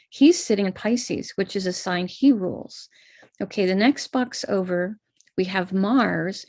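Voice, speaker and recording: A woman's voice: medium pitch, vocal fry, a little mellow, dry recording. An American woman, an astrologer, patiently talking viewers through a chart step by step before leading a live-streamed ritual.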